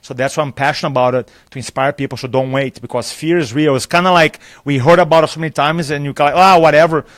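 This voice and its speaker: A man's voice: on the high side, fast, few pauses, no echo. A man from Brazil, a native Portuguese speaker with a thick Brazilian accent, chatting animatedly as a live interview guest and acting out dialogue with laughs. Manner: animated storyteller, acts out dialogue, laughs often. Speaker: public speaking trainer, guest. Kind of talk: enthusiastic live interview chat. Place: conference venue.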